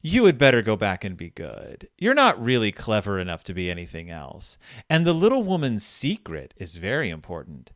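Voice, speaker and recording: A man's voice: on the low side, wildly swooping pitch, moderate pace, fairly bright tone, no echo, studio quality. A man hosting a podcast, casually and enthusiastically retelling and discussing a story, sometimes reading passages aloud. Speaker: podcast host.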